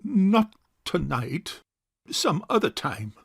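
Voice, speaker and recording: A man playing a stubborn, pompous bachelor uncle in a stage farce, speaking formally and with measured care. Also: sits high, very animated, vocal fry, slightly rough, no echo, clean studio sound, exaggerated voice. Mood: flustered, stubborn. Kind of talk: stage farce audio drama acting.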